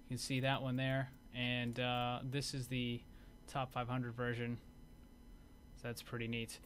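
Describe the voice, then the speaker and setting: A man's voice: mid-range voice, completely flat delivery, a little slow, a bit bright, a little hiss or hum. A man giving casual commentary over game footage as a video host.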